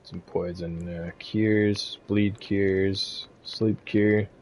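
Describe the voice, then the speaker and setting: A man's voice: lowish voice, steady tone, extremely slow, a little mellow, slight background noise. A man giving casual, laid-back video game walkthrough commentary in a conversational way. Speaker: gaming guide streamer. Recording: faint game ambience behind voice. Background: faint game ambience.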